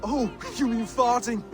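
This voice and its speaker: A man's voice: very high voice, full character voice. An actor playing an earnest, mild-mannered Saxon nobleman and would-be king in a video game, polite, eager and hesitant. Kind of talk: dramatic video game acting.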